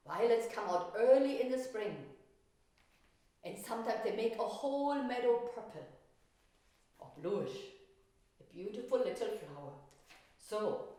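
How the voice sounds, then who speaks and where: A woman's voice: medium pitch, mellow. Woman teaching children in a very echoey room. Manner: simple step-by-step explaining to children, with questions. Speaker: art teacher. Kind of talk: children's painting lesson with storytelling. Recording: very echoey room, distant mic.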